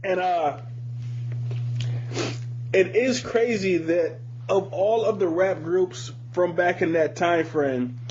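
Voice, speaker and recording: A man in his thirties from Michigan speaks in a somewhat high voice, chatting informally and hesitantly with some swearing as a podcast co-host. The sound is slightly muffled, with a faint hum underneath. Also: slow pace, pauses often, a little mellow. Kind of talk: casual chat. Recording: muffled lo-fi audio with background hum.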